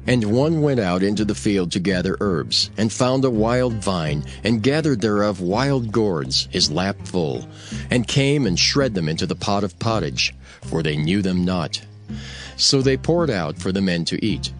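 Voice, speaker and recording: Male narrator, low voice, expressive range, solemn scripture storytelling, faint music. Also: unhurried.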